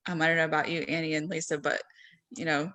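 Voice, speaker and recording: A woman's voice: low-pitched, level tone, fast, sharp tone. A woman talking on a discussion panel as a parent, casual and conversational, with many ums and a voice that trails off at the ends of thoughts.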